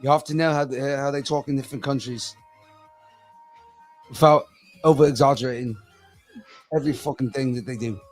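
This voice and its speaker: A man with a hoarse, cracking voice, chatting casually and emphatically as a co-host. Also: mid-range voice, steady tone, fairly quick, pauses often, darkish tone. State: hoarse, losing his voice. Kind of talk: casual chat. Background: music.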